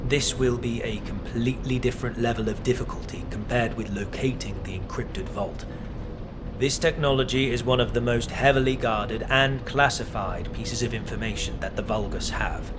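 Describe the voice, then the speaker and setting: Game casting: eccentric scheming scientist male actor, mid-range voice, formal and theatrical, excitable, prominent music behind. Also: mid-range voice, close to an everyday voice. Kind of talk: video game voice acting.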